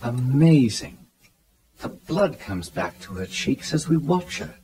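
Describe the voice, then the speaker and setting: An actor playing an earnest, attentive asylum doctor in a radio drama. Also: male voice, medium pitch, big pitch swings, slow pace, dark tone. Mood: concerned.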